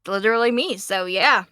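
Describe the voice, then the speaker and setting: A woman's voice: a little high, animated, normal speed, sharp tone, no echo, studio quality. A woman voice actor chatting casually and playfully on a panel. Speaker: voice actor on a fan dub Q&A panel.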